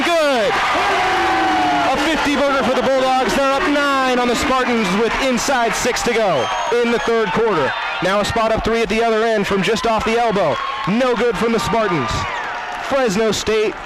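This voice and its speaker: A man's voice: extremely high pitch, moderate pace. A young man doing live radio play-by-play of a basketball game from courtside in an arena. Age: young adult. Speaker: play-by-play announcer. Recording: loud crowd and heavy arena noise. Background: loud crowd, bouncing ball, heavy noise.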